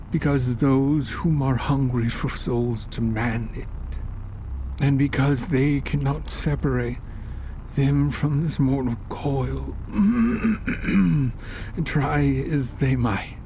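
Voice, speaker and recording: Faint noise sits behind a man playing an older occultist gentleman from a horror tale. His voice is mellow and very unhurried, at a middling pitch. He is polite and condescending, lecturing with quiet menace. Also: fairly even intonation. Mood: solemn lecturing. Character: genteel, sinister older male occultist with walking cane. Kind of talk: horror story character dialogue.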